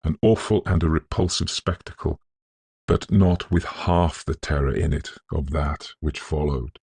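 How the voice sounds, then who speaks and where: A man narrating a literary ghost story in a measured, solemn, scholarly manner, with a gravelly, husky voice. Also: very deep voice, a little mellow, dry recording. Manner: measured, deliberate, solemn delivery.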